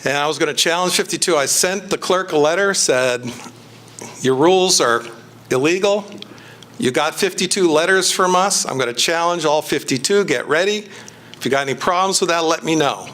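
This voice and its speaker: A man's voice: on the high side, lively intonation, moderate pace, fairly bright tone. A man speaking to a meeting in a blunt, matter-of-fact way about challenging ballots. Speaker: former county commissioner candidate, poll challenger. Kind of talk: casual talk to a meeting.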